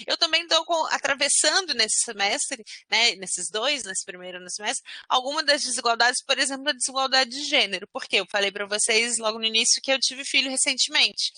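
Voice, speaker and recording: A woman's voice: a little high, pushed and bright, no echo. A woman in her thirties from Minas Gerais with a Belo Horizonte accent, a university professor giving an online class from home over a video call, conversational and dotting her sentences with "né?". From Minas Gerais, Brazil. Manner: conversational, frequent "né?" tags.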